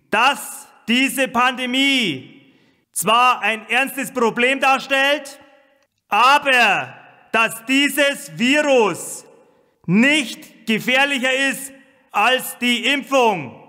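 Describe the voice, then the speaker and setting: A man delivering a formal, military-style public announcement in a deliberate, proclamatory way, with a reverberant loudspeaker sound. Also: very high-pitched, animated.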